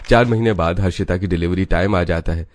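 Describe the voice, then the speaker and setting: A man's voice: low voice, brisk, no room sound. A man narrating a scripted family story for a cartoon.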